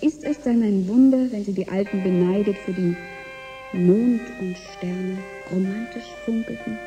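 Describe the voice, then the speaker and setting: A woman's voice: medium pitch, measured pace, music in the background, close to an everyday voice. An actress playing a disillusioned, sardonic seventeen-year-old girl in a German science fiction radio drama. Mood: wistful, bitter.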